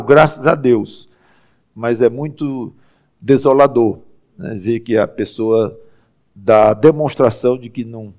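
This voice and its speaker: Gravelly-voiced pastor preaching. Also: male voice, medium pitch, a little mellow. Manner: halting, many restarts, slows for emphasis. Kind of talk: conversational sermon.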